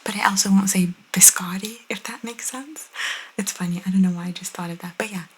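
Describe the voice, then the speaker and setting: A young woman whispering softly and intimately as an ASMR host, reading and chatting casually close to the microphone. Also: medium pitch, fast, slightly rough. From Italian cultural background. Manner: soft, intimate, chatty asides.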